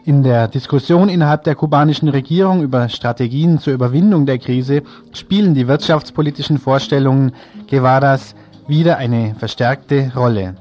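A man's voice: mid-range voice, a little mellow. A man presenting on local radio, reading out event announcements from a prepared text.

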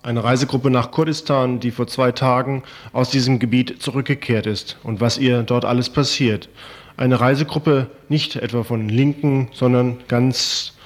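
A man's voice: mid-range voice, steady tone, normal speed. A man presenting radio news in a scripted introduction.

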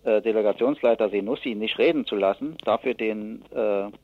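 A man giving spontaneous political analysis as an expert interview guest, speaking haltingly with many filled pauses and rephrasings. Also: lowish voice, dry recording. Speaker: expert guest from an advocacy association. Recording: dull, lo-fi radio interview sound.

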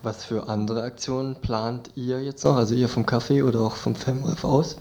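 A man's voice: mid-range voice, fairly even intonation, normal speed, darkish tone. A man interviewing guests in a casual, conversational radio style.

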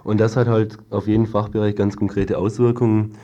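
A young male student activist gives informal interview answers. His voice is lowish and dark, with a flat, steady melody. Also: a little fast, muffled recording. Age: young adult.

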